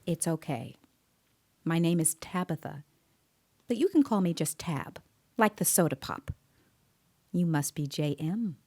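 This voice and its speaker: A woman playing a composed, observant child interviewer, narrating an audio drama in a measured, deliberate way. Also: low voice, expressive, dark tone, heavily acted. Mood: gentle. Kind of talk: scripted dramatic first-person narration. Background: musical scoring.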